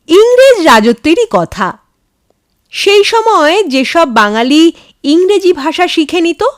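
Lady reading a story, slow n expressive, really swoopy pitch, soft music w it. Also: no echo. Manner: measured, dramatic storytelling delivery. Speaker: storyteller, channel host. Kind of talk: expressive scripted story narration.

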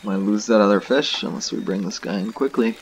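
A young man giving relaxed, casual commentary to viewers while he plays a fishing game. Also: lowish voice, a little fast, a little hiss or hum. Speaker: gaming video host. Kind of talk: casual let's-play commentary.

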